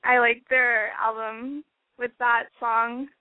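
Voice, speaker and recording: Hesitant high-voiced young woman caller. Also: little pitch movement, slow. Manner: hesitant mumbling with many fillers. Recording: tinny phone-line sound.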